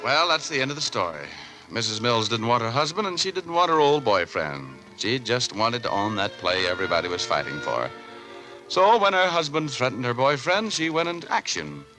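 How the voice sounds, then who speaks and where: An actor playing a wisecracking, tough but easygoing private detective in a 1940s radio drama, with colloquial, wry delivery. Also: male voice, mid-range voice, big pitch swings, moderate pace, lightly acted, poor audio. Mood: wry, explaining. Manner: colloquial, wry, hesitant lead-ins. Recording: vintage radio recording with music.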